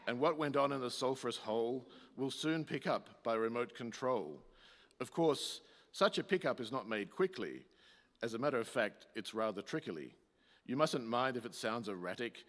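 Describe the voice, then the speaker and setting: A man's voice: mid-range voice, a bit bright. Theatrical male narrator in a reverberant hall. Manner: declamatory, storytelling. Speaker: announcer and narrator. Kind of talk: theatrical rhymed narration of a radio play. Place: community hall, live performance.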